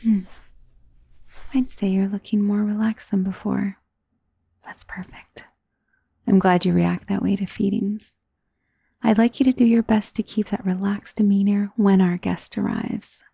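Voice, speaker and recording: A woman playing a centuries-old, wealthy vampire in an ASMR roleplay, doting and possessive, speaking in a soft, intimate, genteel and commanding manner. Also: mid-range voice, fairly even intonation, vocal fry, slightly rough, dry recording. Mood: tender, possessive. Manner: soft, intimate, genteel, unhurried endearments, often dropping into whisper. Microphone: close microphone in a dry space.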